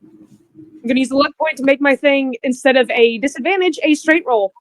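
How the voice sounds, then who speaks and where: A woman's voice: high voice, normal speed, no room sound. A woman talking casually and informally, with some swearing, as a player in a tabletop game.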